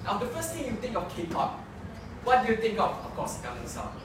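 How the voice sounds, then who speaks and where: A young man argues his case in a formal debate, restarting phrases as he goes. His voice sits high, and it carries through a very echoey room with clear background noise. The mic picks him up from a distance. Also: huge pitch swings, fairly quick. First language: not English. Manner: earnest, argumentative, frequent self-corrections. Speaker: student debater. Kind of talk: competitive debate speech.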